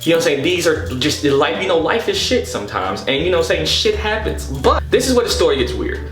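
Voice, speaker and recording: A man telling a story casually and comedically, in an exasperated, animated, slangy way with plenty of swearing. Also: on the high side, fast talker. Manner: animated, exasperated, profane, slangy with repeated "you know what I'm saying". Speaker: storytelling vlogger. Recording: echoey room with music behind.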